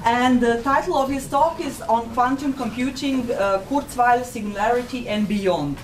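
A woman's voice: fairly high pitch, moderate pace. Young woman announcing in an echoey hall. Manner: reading from notes. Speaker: conference moderator. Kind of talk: introducing a speaker. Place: large conference hall. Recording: echoey hall from far off, noisy.

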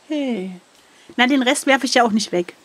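A woman playing through an adventure gamebook, chatting casually and playfully with a comically dramatic, expressive manner. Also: fairly high pitch, moderate pace. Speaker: co-host, player of an adventure gamebook.

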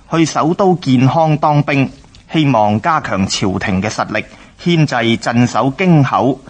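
A man with a Hong Kong Cantonese accent narrating a historical radio drama in a formal, measured, scripted way. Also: medium pitch.

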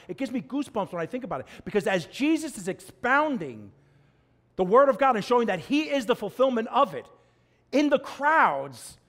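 A middle-aged man preaching a sermon from a church pulpit, swinging between loud emphasis and low, quiet drops. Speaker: pastor.

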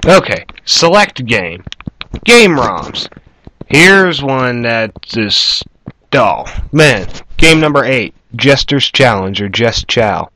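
A man's voice: mid-range voice, big pitch swings, slow pace, bright, forceful tone, rough recording. A man giving casual, rambling gameplay commentary with crude language, recorded indoors in a small trailer on a camera aimed at the screen. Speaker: gamer, video host.

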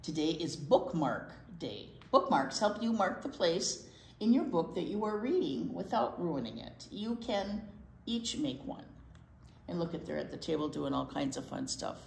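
Older woman, animated storytime reader, gravelly edge, picture book read to children, slightly roomy sound. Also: low voice, a little hiss or hum. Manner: expressive, pausing for asides to young listeners. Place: indoor library storytime set.

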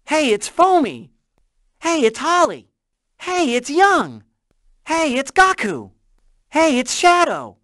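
A woman's voice: sits high, very animated, takes it slowly, long pauses, a bit bright, no room sound, clean studio sound, exaggerated voice. A woman voicing a small, cheery sidekick creature in a video game, in short exclaimed greetings. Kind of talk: video game character dialogue.

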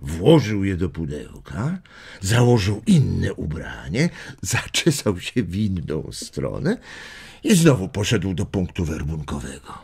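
An old grandpa telling family stories in a kids radio play, husky, really swoopy expressive voice. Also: male voice, sits low, full character voice. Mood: amused storytelling. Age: older. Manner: unhurried, chuckling, expressive. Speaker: storyteller. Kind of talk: audio drama storytelling for children.

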